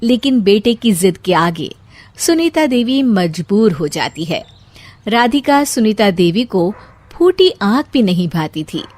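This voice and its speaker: A woman's voice: mid-range voice, sing-song, unhurried, a little mellow, no room sound. A woman narrating a scripted story as its storyteller.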